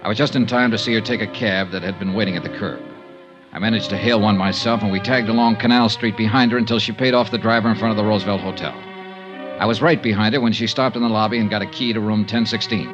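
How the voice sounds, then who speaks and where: A muffled old radio recording with music behind it features a man playing a cool insurance investigator. His voice is on the low side, dry and persistent, in classic 1950s detective drama style. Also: steady tone, quick pace, lightly acted. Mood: dry narration. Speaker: lead character and narrator.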